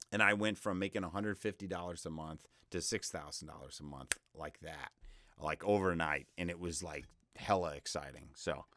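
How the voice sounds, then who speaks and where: A young man with a deep voice giving a casual, rambling screen-share tutorial on freelance selling, often interrupting himself with upbeat advice. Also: pushed and bright, no echo. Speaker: voice-over freelancer teaching online selling.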